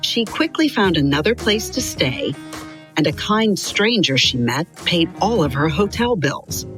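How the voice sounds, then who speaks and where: Lively low-voiced woman storyteller. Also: a little slow, music in the background. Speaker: narrator of a children's biography story. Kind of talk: scripted storytelling narration.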